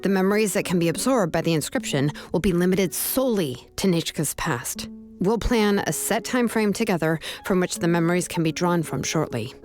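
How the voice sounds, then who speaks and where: A woman's voice: on the low side, lively intonation, normal speed, darkish tone, soft background music, close to an everyday voice. A woman playing a frank, businesslike young female craft expert in a video game, firm and matter-of-fact. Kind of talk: video game dialogue acting.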